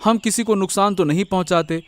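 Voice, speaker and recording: A man's voice: sits high, brisk, dry recording, natural voice. A man voicing a humble, naive, dejected young vegetable seller in a moral-story cartoon. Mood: puzzled, worried.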